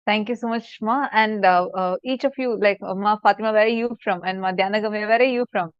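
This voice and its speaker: Woman host, smooth clear voice, dry, a bit dull audio. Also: mid-range voice, brisk, dry recording. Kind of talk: welcoming guests on a call.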